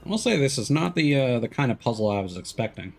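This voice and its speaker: A man doing casual live commentary as a video game streamer, thinking aloud with hesitant pauses mid-sentence. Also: mid-range voice, very animated, vocal fry, a little mellow, faint music behind.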